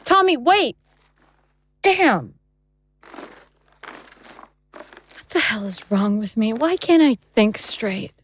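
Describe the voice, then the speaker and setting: A woman acts out an anguished young woman in a horror audio drama. Her voice is fairly high and lively in pitch, halting and emotional. Some noise sits behind her. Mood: frustrated.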